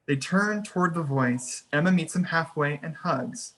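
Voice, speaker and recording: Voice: mid-range voice, animated, a little slow, fairly bright tone, poor audio. A narrator reading scene headings and action lines at a screenplay table read. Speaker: narrator reading stage directions.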